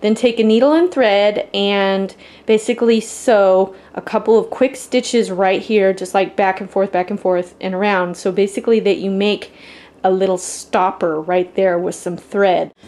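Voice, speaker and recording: Female craft tutorial host, informal step-by-step delivery, medium pitch, roomy echoing sound. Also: fairly even intonation, a little slow, a little mellow. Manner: informal, addresses viewers as guys. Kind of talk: casual step-by-step how-to instruction. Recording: echoey room with faint background noise.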